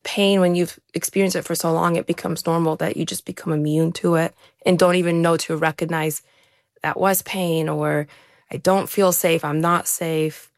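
A woman's voice: on the low side, little pitch movement, moderate pace, no echo, studio quality. A young woman from Ukraine, an interview guest, earnestly telling her life story in a conversational way. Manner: earnest, conversational, with frequent restarts. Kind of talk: candid personal storytelling.

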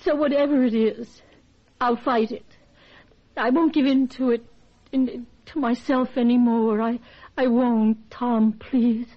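A husky, fairly high-pitched wife in hiding, guilty and emotional. Her dramatic lines come out faltering and imploring, on a slightly muffled old recording. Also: steady tone, takes it slowly. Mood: pleading.

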